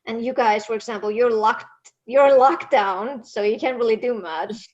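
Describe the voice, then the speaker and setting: A woman with an Icelandic accent, Icelandic being her first language, rambles cheerfully about her writing. She mocks herself often and breaks into laughter. Her voice sits a little high and comes through a dull, lo-fi video call. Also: moderate pace. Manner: rambling, self-mocking, frequent laughter. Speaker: podcast guest, author. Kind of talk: casual interview chat.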